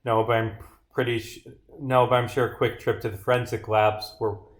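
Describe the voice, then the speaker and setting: A man's voice: medium pitch, little pitch movement, moderate pace, lightly acted. Matter-of-fact detective, read by a man. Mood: firm, accusing. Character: dogged detective pressing a suspect in a visual novel.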